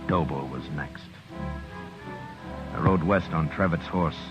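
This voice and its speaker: A grim frontier lawman with a very low American voice. He is terse, stern and hard-boiled, in a muffled 1950s radio western with music in places. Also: takes it slowly, heavily acted. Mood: grim narration. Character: tough, grim frontier marshal narrating his own story. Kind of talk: 1950s radio western acting and first-person narration. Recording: muffled vintage radio broadcast.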